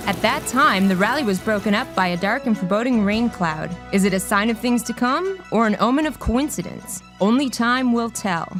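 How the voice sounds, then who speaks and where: A woman's voice: fairly high pitch, lively intonation, moderate pace, few pauses, close to an everyday voice. A woman playing an earnest news anchor in a satirical radio drama, with formal, portentous delivery. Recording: music playing under the voice.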